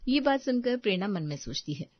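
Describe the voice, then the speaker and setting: A woman's voice: medium pitch, big pitch swings, brisk, dry recording, lo-fi sound. A woman reading a scripted moral story as its narrator.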